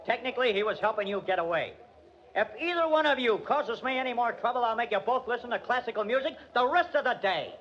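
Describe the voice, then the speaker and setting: A bullying, impatient police detective in a 1940s radio drama. His voice is high and very animated, with brusque, slangy talk. The old recording has a faint hiss. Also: fast, muffled recording. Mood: forceful, angry. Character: gruff, bullying, impatient police detective who hates music.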